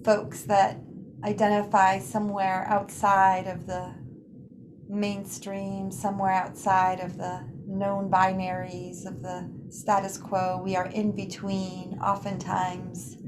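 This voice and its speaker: A woman leading a guided relaxation practice in an unhurried, soothing manner, pausing often between phrases. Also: medium pitch, completely flat delivery, noisy background. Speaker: guided meditation teacher. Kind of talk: guided yoga nidra relaxation.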